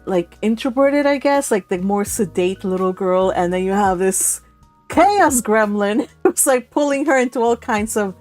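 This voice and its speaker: A woman's voice: mid-range voice, lively intonation, moderate pace, a little mellow, faint music behind. A woman chatting casually and giggly as host of a book club read-along. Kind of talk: casual chat.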